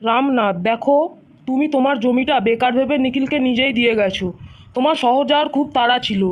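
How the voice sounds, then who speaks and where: A woman voicing a stern but fair village headman in a cartoon, authoritative and measured. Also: high voice, lo-fi sound, lightly acted. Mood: judging. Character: stern, fair male village council head.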